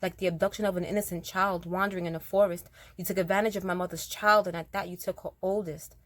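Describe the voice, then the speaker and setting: Raspy low-voiced woman reciting spoken word. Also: steady tone, fast talker, no echo. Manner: earnest, direct, addresses listeners as y'all. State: raspier than usual, throat slightly rough.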